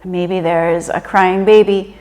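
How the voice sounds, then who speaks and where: A woman leading a guided meditation in a gentle, unhurried and conversational manner. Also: lowish voice, steady tone. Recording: reverberant room with long echo.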